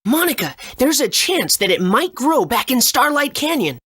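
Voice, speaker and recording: A woman's voice: sits high, very animated, moderate pace, fairly bright tone, husky, no room sound, exaggerated voice. A woman voicing an earnest, good-natured young boy adventurer in a video game. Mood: eager. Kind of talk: English game dub.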